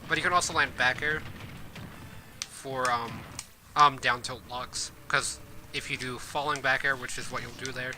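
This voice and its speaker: A man giving casual gameplay commentary as a streamer, rambling with fillers and self-corrections, his voice a bit hoarse. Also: medium pitch, fairly even intonation, moderate pace, noisy background. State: hoarse from coughing. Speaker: streamer and game guide host. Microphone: desk mic.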